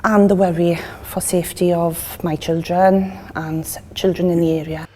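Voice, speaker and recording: A woman's voice: lowish voice, level tone, moderate pace, a little mellow. A woman, speaking as a local resident in an interview. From Wales. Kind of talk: documentary interview. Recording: faint background ambience noise.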